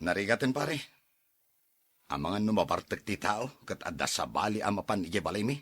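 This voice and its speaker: A man playing a suspicious, jealous husband and stern father in a radio drama, with a gruff, accusing manner. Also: fairly low pitch, expressive, moderate pace, fairly bright tone, no room sound, clean studio sound. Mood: uneasy, suspicious.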